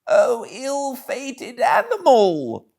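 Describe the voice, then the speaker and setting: A shrill, melodramatic Toad. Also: male voice, wildly swooping pitch, very slow, gravelly, a little mellow, exaggerated voice. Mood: despairing, self-pitying. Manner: wailing, melodramatic. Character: Toad, theatrically miserable, self-pitying conceited male animal.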